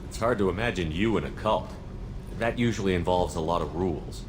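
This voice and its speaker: An actor playing a resolute military commander in a video game, even-tempered and plain-spoken. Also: male voice, deep voice, fairly quick, close to an everyday voice. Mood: composed. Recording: noisy game ambience.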